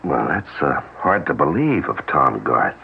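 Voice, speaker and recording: An actor playing a concerned, well-meaning friend in a 1970s radio drama, halting and hesitant. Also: male voice, a bass voice, big pitch swings, creaky, husky, heavily acted. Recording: tinny lo-fi old radio broadcast.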